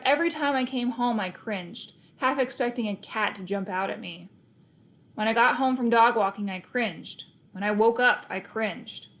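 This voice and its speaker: A woman narrating a children's novel aloud in the first person, expressively, with emphasis on key words. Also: on the high side. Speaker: storybook reader.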